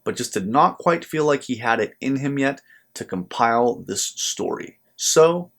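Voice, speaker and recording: An American man presenting a scripted book review to viewers as the channel's host, speaking in an earnest, measured way with emphatic phrasing. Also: mid-range voice, lively intonation, gravelly, a bit bright. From United States.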